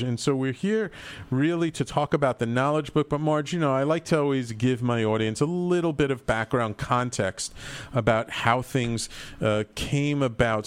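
A man's voice: medium pitch, smooth. A man hosting a talk show, talking in a rambling, earnest way with repeated words. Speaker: host. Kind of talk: casual talk show chat, livestream.

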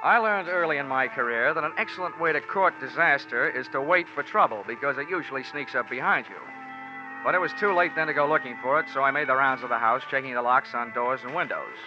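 A male actor plays a world-weary 1940s radio investigator. He delivers his lines and narration with dry, deadpan wit in a medium-pitched voice. The old recording is muffled, with music in the background. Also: fast, close to an everyday voice. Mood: dry, wry. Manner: deadpan, wisecracking. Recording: dull vintage 1940s radio, music behind.

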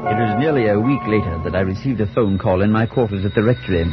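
An actor playing a skeptical, concerned priest who narrates an eerie 1940s radio play in a measured, formal, theatrical way. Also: male voice, on the low side, lightly acted. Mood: grave narration. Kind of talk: 1940s radio drama acting. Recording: dull 1940s radio broadcast, music behind.

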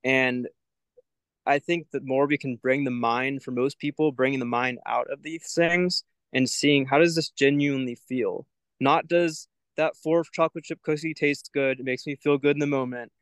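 A man's voice: mid-range voice, steady tone, normal speed, a bit bright, clear, resonant tone, no echo. A young man as a podcast guest, talking in a thoughtful, searching way with many "like" fillers. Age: young adult. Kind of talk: reflective spiritual conversation.

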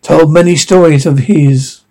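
A man reads a fairy tale aloud with a husky, creaky voice. His tone is soft and dark, set a little higher than most men's. The delivery is halting, with stumbles over words. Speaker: story reader.

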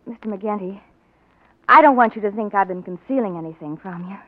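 An actress playing a genteel, earnest wife and young mother in a 1940s radio drama, speaking politely and gently. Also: medium pitch, animated, brisk, dry recording, natural voice. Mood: anxious, confiding. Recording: tinny narrow old radio recording.

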